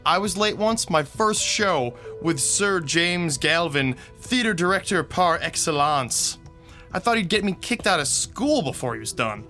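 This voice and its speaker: A man's voice: a little high, very animated, measured pace, sharp tone, soft background music, heavily acted. An actor playing an easygoing young man on a theater crew in a radio drama, casual and wry. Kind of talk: naturalistic radio acting.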